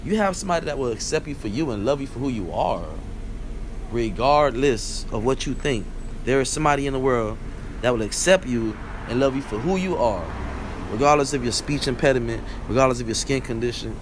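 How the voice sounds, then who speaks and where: A man's voice: mid-range voice, brisk, background noise. A young man with a Barbadian accent hosting a rambling, conversational solo talk and often asking "you know what I'm saying?". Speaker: vlog host. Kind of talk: casual solo monologue.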